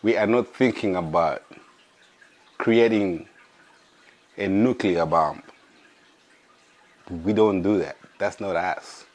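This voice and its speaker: Podcast host, hoarse, very low voice, slow and searching. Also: male voice. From Africa. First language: an African language. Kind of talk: casual monologue.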